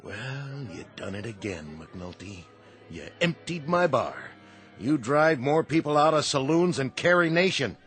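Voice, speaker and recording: Tired sarcastic bartender in old radio play, medium pitch, dry wisecracks, bit of music under. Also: male voice, big pitch swings, unhurried, fairly bright tone. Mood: weary, exasperated. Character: weary, sardonic male saloon owner. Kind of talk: old-time radio drama acting.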